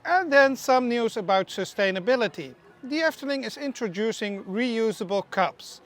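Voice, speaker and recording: A woman's voice: fairly high pitch, lively intonation, moderate pace, faint music behind. Chatty woman. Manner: chatty, opinionated, small slips and restarts. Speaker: theme park news presenter. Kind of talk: casual news vlog narration.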